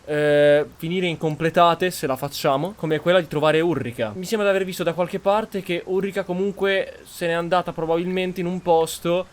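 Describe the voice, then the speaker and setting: A man's voice: high voice, steady tone, brisk, few pauses. A man with an Italian accent giving roleplay-style commentary as he plays a video game. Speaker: gameplay host.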